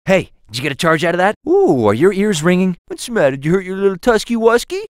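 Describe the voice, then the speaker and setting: An actor playing a wisecracking, earnest young superhero in a video game, with a boyish, jokey and exclamatory delivery. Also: male voice, high-pitched, wildly swooping pitch, fast, clean tone, no room sound, studio quality. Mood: taunting, playful. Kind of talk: animated video game voice acting, quips and tutorial hints.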